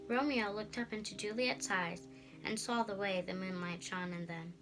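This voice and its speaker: A young girl giving an expressive scripted reading of a story as narrator. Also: medium pitch, normal speed, over music, rough recording. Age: child.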